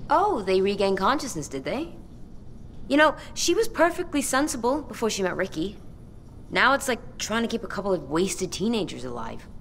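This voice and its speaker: A woman's voice: mid-range voice, moderate pace, creaky, a little hiss or hum. An actress playing a dry, sarcastic young woman survivor in a video game cutscene. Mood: sardonic. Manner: deadpan, sardonic.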